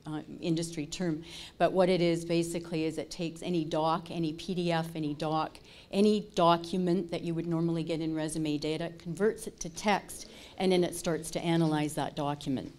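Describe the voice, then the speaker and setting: Woman CEO presenting at a pitch event, low-pitched, conversational. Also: fairly even intonation, a little fast, darkish tone. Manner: chatty, self-interrupting asides. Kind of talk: business presentation. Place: conference room. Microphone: lapel mic.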